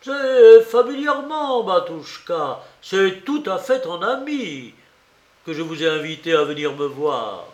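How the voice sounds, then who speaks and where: A sly, needling examining magistrate from an audiobook, acted out by a man. He sounds falsely jolly and overly familiar, toying with his suspect. The voice is slightly high, slow and set in a reverberant room. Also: fairly bright tone, highs cut off. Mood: sardonic, familiar. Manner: goading, chuckling, theatrically familiar. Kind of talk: dramatized audiobook reading. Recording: roomy, echoing voice recording.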